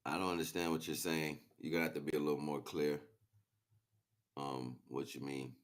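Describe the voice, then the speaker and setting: A man rambling casually on a livestream in slangy, muttered talk with a gravelly voice. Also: extremely low pitch, flat delivery, moderate pace. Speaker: live streamer. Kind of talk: casual unscripted livestream chat.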